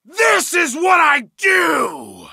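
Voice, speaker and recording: An actor playing a brutal bearded berserker warrior in a video game, bellowing taunts and pained cries. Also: male voice, very high voice, lively intonation, extremely slow, gravelly, no room sound, clean studio sound, full character voice. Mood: fierce. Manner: bellowing, taunting battle shouts. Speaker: game character. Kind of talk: video game combat barks.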